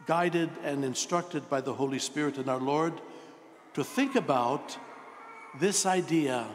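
Older priest preaching in a reverberant church. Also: male voice, a little high, a little hiss or hum. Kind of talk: sermon. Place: large church, indoors at the altar. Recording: long reverberant church echo.

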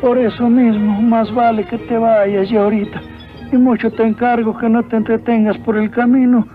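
An actor playing a frail, sick old uncle in a cartoon, gentle and plaintive. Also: male voice, extremely high pitch, level tone, normal speed, soft background music. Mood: weak, pleading. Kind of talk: dubbed cartoon acting. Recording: thin, phone-like old film soundtrack.

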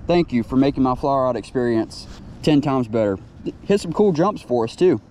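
An excitable young man narrates his own fishing trip beside a lake, chattering to the fish as he fights them. His voice is mellow and warm, caught on a chest-mounted camera outdoors with a faint hiss of wind and ambience behind him. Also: mid-range voice, normal speed. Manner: excitable, talks to the fish while reeling. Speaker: fishing vlogger. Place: outdoors by a lakeside. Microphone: chest-mounted action camera.

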